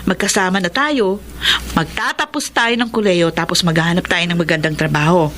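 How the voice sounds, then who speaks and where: A woman playing a determined, ambitious young provincial daughter and elder sister in a Tagalog radio drama, earnest and expressive. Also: fairly low pitch, brisk, close to an everyday voice. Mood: hopeful, encouraging. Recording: clear background noise.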